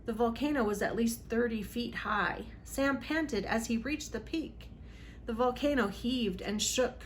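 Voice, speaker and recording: A woman's voice: on the high side, measured pace, a little hiss or hum. A woman reading a storybook aloud for children in a dramatic, emphatic way. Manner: dramatic, emphatic on exciting moments. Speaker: read-aloud host for children.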